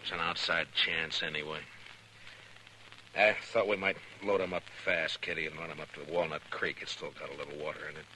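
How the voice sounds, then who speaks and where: An American actor playing a firm, weary, plain-spoken marshal in an Old West radio drama of the 1950s. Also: male voice, rumbling low, little pitch movement, brisk, bright, forceful tone, heavily acted, poor audio. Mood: troubled, resigned. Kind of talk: 1950s radio western acting. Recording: old radio recording, faint noise.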